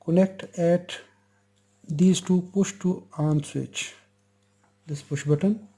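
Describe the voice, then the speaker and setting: A man with a slightly high but mellow, soft-edged voice talks through an electronics repair. He explains each step slowly, in short and plain sentences, as if showing a viewer exactly what his hands are doing. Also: steady tone, husky. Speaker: electronics repair channel host. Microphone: lapel mic.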